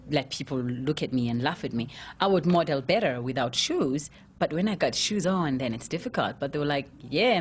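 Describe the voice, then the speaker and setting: A young woman with a very deep, low voice shares her past in an unhurried, candid way, sprinkling in "you know" as she goes. She is from South Africa and speaks as a fashion model being interviewed. A faint hum of room ambience sits behind her. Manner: candid storytelling, many "you know" fillers. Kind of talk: casual interview.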